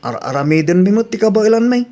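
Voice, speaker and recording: A man's voice: sits high, animated, quick pace, mellow. A man with an Ilocano accent playing an easygoing, cheerful husband in an audio drama.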